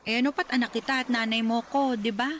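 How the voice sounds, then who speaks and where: A woman playing a caring, perceptive middle-aged mother in a radio drama, gently probing. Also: fairly high pitch, steady tone, fast, slight background noise, lightly acted. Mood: concerned. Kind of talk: Tagalog radio drama acting.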